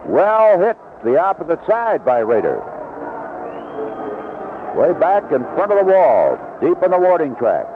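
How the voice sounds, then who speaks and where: A man does live baseball play-by-play for vintage radio. His medium-pitched voice moves up and down animatedly as he follows the game and reads promos. The broadcast is narrow and tinny, with clear noise around him. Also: huge pitch swings, a little slow, pauses often. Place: outdoor ballpark broadcast booth. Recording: band-limited vintage AM radio broadcast, noisy.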